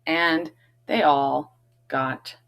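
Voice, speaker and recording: Female picture-book reader, slightly low voice, unhurried pace, expressive emphasis with conversational asides to young listeners. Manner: expressive reading, emphasizes key words, chatty questioning asides. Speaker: read-aloud host, teacher reading to children.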